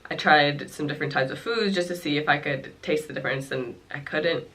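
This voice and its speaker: Young woman, stuffy nasal voice, chatty vlog, rambling fillers, bright tone. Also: low voice, a little fast. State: congested, nasal. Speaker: vlogger. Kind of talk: casual talk to camera about her illness. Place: her home.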